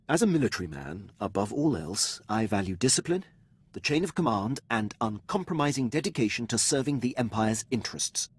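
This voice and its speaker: An actor playing a dutiful, loyal military officer in a video game, speaking formally and with precise, clipped diction. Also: male voice, medium pitch, husky. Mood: formal, earnest. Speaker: starship captain serving a lord.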